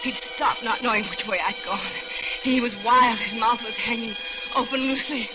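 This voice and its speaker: A woman's voice: a little high, fairly even intonation, normal speed, natural voice. An actress playing a terrified captive woman scheming to escape, breathless and urgent in a 1940s radio thriller, both narrating and pleading. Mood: tense dread. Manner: breathless, urgent dramatic delivery. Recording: muffled old radio recording, loud dramatic music.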